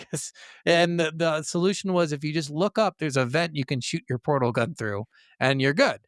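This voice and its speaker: A man's voice: a little high, moderate pace, dry recording, studio quality. A man co-hosting a podcast, chatting casually about games in an informal, conversational way. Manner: conversational, informal, says "like" often. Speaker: podcast co-host. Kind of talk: casual chat, game review.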